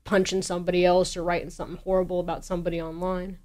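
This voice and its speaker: A woman's voice: fairly low pitch, little pitch movement, racing, darkish tone. Earnest woman explaining a cause. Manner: earnest, emphatic. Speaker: interview guest, anti-bullying campaigner. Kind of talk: interview answers, explanatory.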